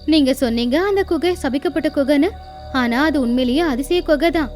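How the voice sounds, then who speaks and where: A woman's voice: high-pitched, moderate pace, darkish tone, faint music behind, lightly acted. A woman voicing a scheming, ambitious female goat in a cartoon fable.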